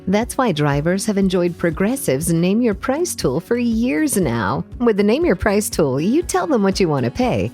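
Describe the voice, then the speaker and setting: A woman's voice: medium pitch, darkish tone. A woman doing a scripted voice-over for an advertisement. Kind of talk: scripted commercial narration.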